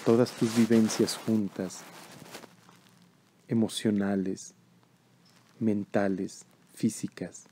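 A man leading a guided meditation in a calm, measured, instructional way. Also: fairly low pitch, slight background noise.

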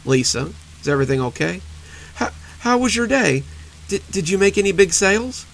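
An anxious young husband stumbles over his questions, his gravelly voice tight with worry, played by the male narrator. He repeats words as he presses for answers. Light rain hisses faintly behind him. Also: high-pitched, very animated, normal speed, fairly bright tone, pitched above the performer's own voice, exaggerated voice, low-quality recording. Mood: worried. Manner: anxious, stammering. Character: worried young husband stuck in traffic.

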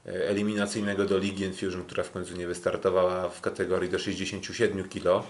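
Male podcast host, lowish voice, hesitant and pausing often while giving fight recaps. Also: little pitch movement, slight background noise.

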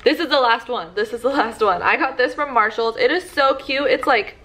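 A woman's voice: a little high, fairly quick, a bit bright. Young woman vlogger, casual haul chatter, talks to a camera in her living room. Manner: chatty, lots of "like" fillers. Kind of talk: casual shopping haul chat.

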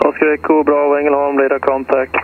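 A man's voice: a little high, completely flat delivery, quick pace. A man working as an air traffic controller, reading out information over an aviation radio. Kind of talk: radio weather and clearance report. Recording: tinny radio with faint hum.

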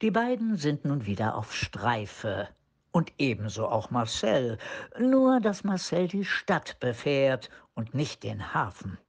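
A man's voice: medium pitch, big pitch swings, slow, husky. A man narrating a scripted radio play as storyteller.

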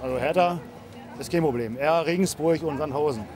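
A man's voice: fairly high pitch, brisk, crowd noise. A middle-aged man, a football fan, answering casually in a street interview outside a stadium. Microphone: handheld interview microphone.

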